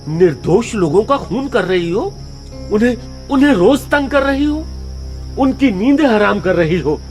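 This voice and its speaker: A man's voice: high voice, animated, unhurried, faint music behind. A man playing a worried, protective young father in a horror cartoon story, emphatic and pleading. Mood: indignant.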